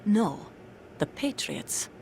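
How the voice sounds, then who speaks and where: A woman's voice: takes it slowly, a little mellow, a little hiss or hum, natural voice. An actress voicing a tough young female soldier in a video game, terse and grave, with controlled delivery. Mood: grave, regretful. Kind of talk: dramatic video game cutscene acting.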